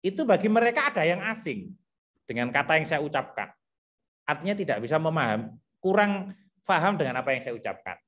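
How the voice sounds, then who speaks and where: A man with a thick Javanese accent explaining teacher competency criteria to colleagues, repeating himself and filling pauses as he thinks aloud. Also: fairly high pitch, very animated, a bit bright. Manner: repetitive, thinks aloud with many fillers.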